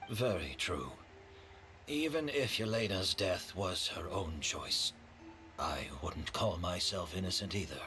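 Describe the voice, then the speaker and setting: A man playing a remorseful, courteous gentleman in a video game, speaking in a measured, earnest way. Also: low-pitched, expressive, a little hiss or hum, full character voice. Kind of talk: dramatic game voice acting.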